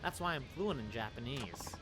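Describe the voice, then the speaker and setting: A man's voice: mid-range voice, lively intonation, moderate pace, close to an everyday voice, rough recording. A man reading aloud the lines of a haughty young woman who claims to be a runaway princess, in formal, stiff phrasing, over game music.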